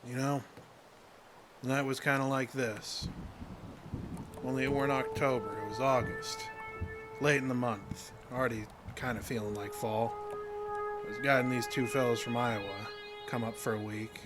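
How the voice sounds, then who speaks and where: A man plays a plain-spoken fishing guide carrying a troubled past. He talks in loose, folksy rural grammar with a guarded edge. It is an audio drama performance with clear music behind the voice. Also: medium pitch, flat delivery, normal speed, long pauses, close to an everyday voice. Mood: somber storytelling. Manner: folksy, ungrammatical rural small-town talk.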